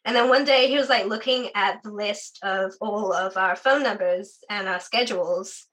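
Interview guest, young adult, from the UK, chatty with filler words, bright clear tone, sore voice. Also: female voice, mid-range voice, normal speed, rough recording. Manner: chatty, frequent "like" fillers, laughs often. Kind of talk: casual interview conversation.

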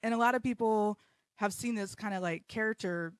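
Woman in her 30s, podcast host, blunt and self-mocking, mid-pitched, dry close sound. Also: fairly quick, dry recording. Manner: blunt, self-deprecating, swears freely. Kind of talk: casual live podcast monologue. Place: home basement.

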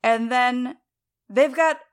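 A woman co-hosting a podcast, talking casually in a halting, conversational way with trailing asides. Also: sits high, smooth. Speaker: podcast co-host. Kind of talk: casual discussion.

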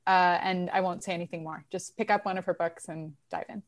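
A woman's voice: on the low side, steady tone, fairly quick. A woman filmmaker guest talking candidly and casually in an interview.

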